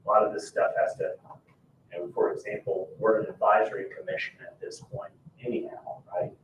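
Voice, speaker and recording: Deep-voiced American man in echoey room. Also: little pitch movement, brisk. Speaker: advisory commission member. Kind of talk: unscripted explanation at a meeting. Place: indoor public meeting room. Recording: echoey room, distant mic, faint noise.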